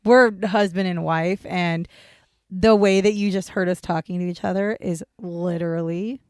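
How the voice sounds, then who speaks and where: A woman's voice: on the low side, steady tone, normal speed, darkish tone, clean tone, no echo, studio quality. A woman in her forties co-hosting a podcast in casual banter, chatty, laughing often and swearing freely, with rambling asides. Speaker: podcast co-host.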